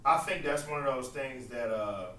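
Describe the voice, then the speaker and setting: A man's voice: medium pitch, a bit bright, a little hiss or hum. A man with a nasal voice, talking in a laid-back, slangy way as a podcast guest. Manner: laid-back slangy talk, drawn-out trailing phrases. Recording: roomy echo from far off, faint ambience.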